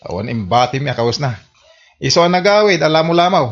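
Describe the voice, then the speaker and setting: Man playing a higher-voiced mischievous village accomplice. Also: expressive, quick pace, highs cut off. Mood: smug, gossipy. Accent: Filipino, Ilocano. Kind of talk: comedy radio drama acting.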